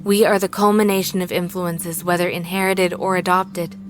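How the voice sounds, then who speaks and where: A woman playing a composed, logical and literal character in an audio drama, speaking formally, with precise, measured phrasing. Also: fairly low pitch, flat delivery, over music, natural voice. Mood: coolly logical.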